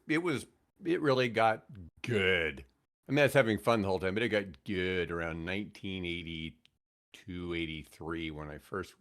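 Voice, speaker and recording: Older low-voiced man swapping stories. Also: a bit bright. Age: 60s. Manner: jokey, self-mocking, acts out quoted dialogue. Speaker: podcast guest, retired radio host. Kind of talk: casual anecdotal storytelling.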